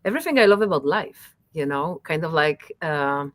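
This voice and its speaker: A woman whose first language is Polish, talking casually as an interview guest, halting with many fillers. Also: low-pitched, lively intonation, no room sound, clean studio sound. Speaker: musician guest.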